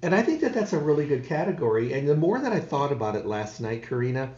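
A man's voice: a little high, sing-song, fast, warm tone, reverberant, dull audio. A man hosting a radio talk show, folksy and rambling with many restarts. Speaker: radio host. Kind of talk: casual radio chat.

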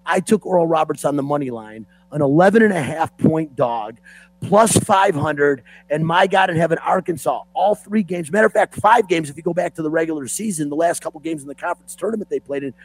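Hoarse sports radio guy ranting like a fan, raspy and kinda husky, dead dry room. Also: a little high, brisk, no room sound, poor audio. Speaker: sports talk show host. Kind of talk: opinionated sports radio talk.

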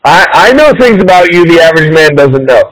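A man's voice: a little high, very animated, fairly quick, a bit bright, dry recording, low-quality recording. A man hosting a casual podcast, teasing and goading his guest with mock indignation. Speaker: podcast host. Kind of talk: casual bantering interview.

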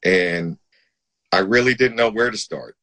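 A man's voice: on the low side, unhurried, pushed and bright. A man hosting a casual live-stream interview, talking in an admiring, emphatic way. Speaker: host.

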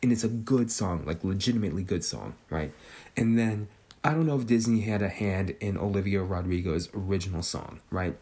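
A man's voice: lowish voice, warm tone. A man in his twenties hosting a podcast, musing casually and tagging almost every sentence with "right?". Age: 20s. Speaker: podcast host. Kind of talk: casual speculative monologue.

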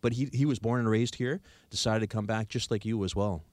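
A male radio host with a Canadian accent speaks in a fairly low voice. The sound is close and dry, like a studio, and his delivery is conversational. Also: fairly even intonation, a little fast, darkish tone, no room sound. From Victoria, Canada.

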